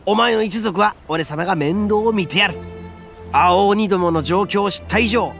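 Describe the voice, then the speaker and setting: A gravelly, cocky oni boss. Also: male voice, high voice, moderate pace. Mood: protective. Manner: swaggering, rough-spoken, self-proud. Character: boastful brash young male oni gang leader. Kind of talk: game cutscene voice acting. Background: soft game music.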